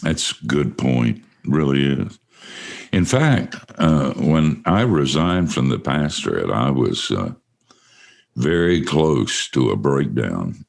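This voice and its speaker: An older man with a deep voice hosting a casual studio chat, telling stories with self-deprecating humor. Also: very animated, slow, creaky. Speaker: host.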